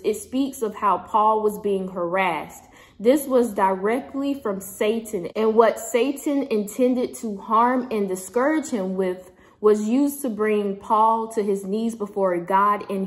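A young woman vlogging at home, reading a devotional aloud and chatting casually into a phone held close. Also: mid-range voice. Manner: chatty, upbeat, warm-hearted sign-offs. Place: indoors at home, small room. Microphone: phone camera held close.

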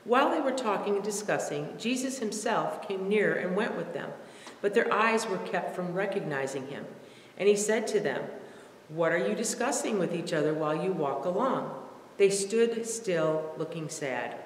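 Female scripture reader, mature, careful expressive delivery, lowish pitch, long church reverb with faint background noise. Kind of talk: reading scripture aloud from a page. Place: large empty church sanctuary, indoors.

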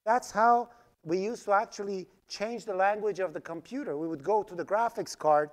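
A man's voice: high-pitched, moderate pace. A man whose first language is Farsi, teaching a programming class while typing code on screen and checking in with "right?" as he explains. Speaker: programming instructor. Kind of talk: live coding lecture. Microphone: screen recording with voice-over mic.

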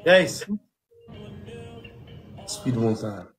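A young Ethiopian man in his twenties, a native Amharic speaker, bantering loudly in broken English with many hesitations during a livestream battle, recorded on a phone in a small indoor room. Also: medium pitch, expressive, drawn-out words, faint music behind. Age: 20s. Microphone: phone selfie camera.

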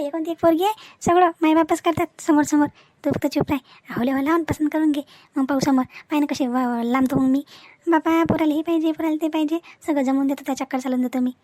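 A woman's voice: very high-pitched, a little mellow, no echo. A woman voicing a greedy, scheming village mother in a comic cartoon, blunt and wheedling, with a Varhadi Marathi accent.

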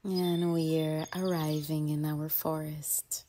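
Slow, low-voiced woman guiding a meditation. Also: flat delivery. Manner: soothing, gentle, with long pauses between short phrases. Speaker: yoga and mindfulness teacher leading a guided meditation. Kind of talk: guided meditation. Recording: faint ambient noise with birdsong. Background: birdsong and nature ambience.

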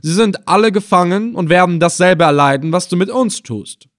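A man's voice: high voice, normal speed, a bit bright, voice pushed up. Man playing a bold, defiant captive hero. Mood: scornful, bold. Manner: emphatic, bold, taunting. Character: defiant, fearless captive adventurer, a proud cool-headed man. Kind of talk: dramatized audiobook adventure reading.

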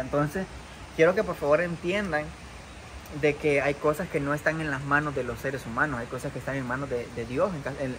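A young man, a content creator, talking to the camera outdoors beside a muddy rural road, earnest and defensive, with many fillers and restarts. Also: fairly high pitch. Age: young adult. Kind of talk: vlog to camera. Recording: outdoor noise behind. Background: outdoor ambience.

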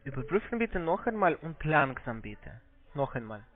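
Male phone agent, higher-pitched, foreign accent, halting broken grammar, evasive, thin telephone sound. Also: very animated, slight background noise. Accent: foreign accent in German. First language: not German. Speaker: call-centre scammer posing as trading platform agent. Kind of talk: phone sales conversation. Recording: muffled tinny phone line.

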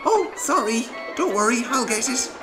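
A man voicing a young, eager, over-excited little engine who talks in a bubbly, enthusiastic way. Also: very high-pitched, moderate pace, roomy sound, music in the background, voice pushed up. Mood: apologetic.

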